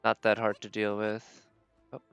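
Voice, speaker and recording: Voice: medium pitch, completely flat delivery, measured pace, creaky, clean tone, dry recording, muffled recording, faint music behind. A game livestream host giving casual live commentary, thinking aloud in a tentative, musing way.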